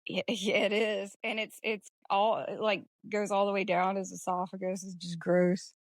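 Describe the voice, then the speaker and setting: A woman with a medium-pitched voice talks casually about a film as a podcast co-host. Her delivery is blunt and informal, full of slang. The sound is dry, with very little room. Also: flat delivery, moderate pace, dry recording.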